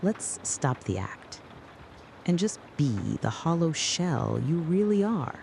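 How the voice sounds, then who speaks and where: A narrator delivering a scripted, dramatic late-night soliloquy in a deliberate, brooding way, speaking straight to the listener. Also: medium pitch, sing-song, creaky, a little mellow, a little hiss or hum. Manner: slow, deliberate, brooding second-person address.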